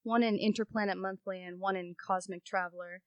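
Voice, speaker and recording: A woman's voice: mid-range voice, fairly even intonation, fairly quick, clean tone, no echo, muffled recording, lightly acted. A woman playing a chatty, frank newspaper colleague in an amateur radio play, casual and slangy. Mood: frank, intrigued.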